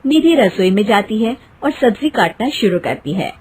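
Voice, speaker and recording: A woman's voice: medium pitch, animated, moderate pace, a little mellow, slightly rough. A woman narrator telling a scripted cartoon family story in the present tense.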